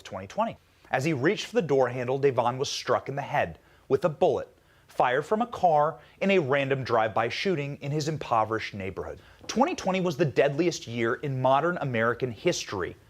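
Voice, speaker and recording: A man delivering a scripted political speech in an emphatic manner with dramatic pauses. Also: on the high side.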